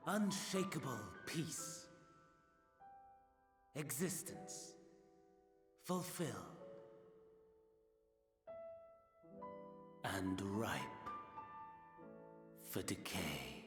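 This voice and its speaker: Slow, echoing, brooding villain. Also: male voice, medium pitch. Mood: grandiose, ominous. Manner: measured, brooding, declamatory. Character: embittered, grandiose male imperial scientist and villain. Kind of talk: dramatic game cutscene monologue. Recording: echoing voice over music.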